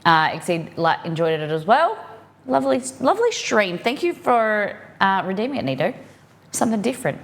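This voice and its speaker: A woman hosting a cooking livestream, chatting casually and expressively to viewers with rambling asides and a slightly croaky voice. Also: medium pitch, very animated, normal speed. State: croaky, dehydrated voice. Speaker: livestream host of a cooking show.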